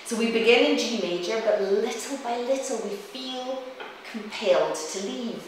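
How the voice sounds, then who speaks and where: A woman presents a music lecture in a reflective, storytelling way, explaining as she goes. She is recorded at some distance from the microphone in a highly reverberant room. A faint layer of noise sits under her medium-pitched voice. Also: normal speed. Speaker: presenter, cellist and music teacher. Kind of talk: spoken lecture-demonstration on music.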